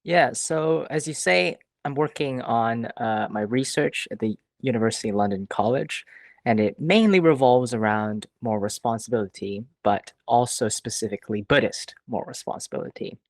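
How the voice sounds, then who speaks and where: A young man from Hong Kong, a philosophy doctoral student, speaking as a podcast guest. His voice sits at a middle pitch, and he answers in a thoughtful, hesitant way with some fillers. The recording is dry and close. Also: normal speed, creaky, no echo. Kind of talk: casual interview answers.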